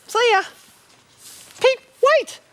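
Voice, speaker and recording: A tiny chirpy oxpecker bird. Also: female voice, drawn-out words, dry recording, exaggerated voice. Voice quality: very high voice. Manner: bright, chirpy and friendly. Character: small lonely cheerful oxpecker bird. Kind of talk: children's TV acting.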